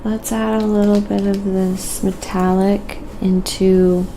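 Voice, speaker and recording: A woman hosting a quiet ASMR painting livestream, giving an unhurried, murmured running commentary on her own work and chatting with viewers. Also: mid-range voice, level tone, slight background noise, poor audio. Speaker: live-streaming painter and host.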